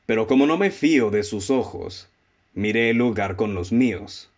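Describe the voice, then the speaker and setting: A Mexican man acting in a student radio drama as a self-assured, methodical amateur detective who speaks in a formal, deliberate, theatrical way. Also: fairly low pitch, lively intonation. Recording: dull, muffled lo-fi audio.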